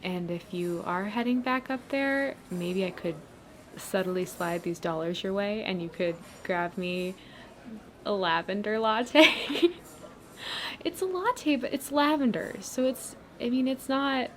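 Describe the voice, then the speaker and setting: A woman playing a supportive, gently teasing writer friend in a casual ASMR roleplay, speaking in a gentle, hesitant, encouraging way. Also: on the high side. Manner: hesitant, gentle, encouraging, chuckling softly between phrases. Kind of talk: ASMR roleplay, casual one-sided chat. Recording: clear steady background noise, ambience.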